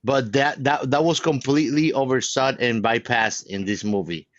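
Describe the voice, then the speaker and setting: A man's voice: medium pitch, normal speed, dry recording. A man debating as a podcast co-host, emphatic and somewhat formal in his wording, on a slightly lo-fi microphone. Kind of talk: casual debate.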